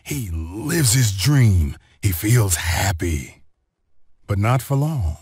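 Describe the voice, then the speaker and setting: Guy reading a kids book out loud, really animated, bit husky, close dry sound. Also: on the low side, no room sound. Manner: expressive, deliberate storytelling delivery with clear phrasing. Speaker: storybook narrator. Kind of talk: scripted read-along children's story narration.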